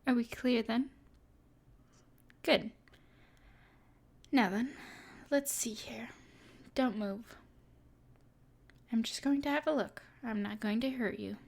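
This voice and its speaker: A woman playing a smug, teasing evil sorceress in an intimate ASMR roleplay, with a breathy edge and a haughty, mysterious manner. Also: fairly high pitch, slow, pauses often, a little mellow, exaggerated voice. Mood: commanding.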